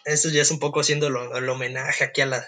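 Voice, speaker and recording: A young adult man with a Mexican Spanish accent hosting a casual podcast chat, relaxed and quick to laugh. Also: medium pitch, a little hiss or hum. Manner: relaxed, laughs easily, leads the segments. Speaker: podcast host.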